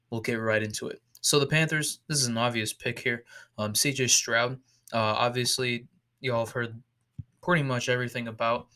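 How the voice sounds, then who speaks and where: A young man talks through a football draft in loose, rambling commentary, restarting sentences and leaning on fillers. His throat is sore, so the voice sounds slightly off. His pitch sits right in the middle for a man. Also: bright, forceful tone. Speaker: YouTube football mock draft host. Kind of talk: casual solo commentary over screen.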